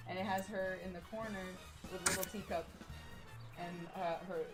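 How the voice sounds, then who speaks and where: A woman's voice: mid-range voice, steady tone, moderate pace. A woman hosting a stream, chatting casually with a giggly, rambling manner. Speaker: streamer host. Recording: music playing behind.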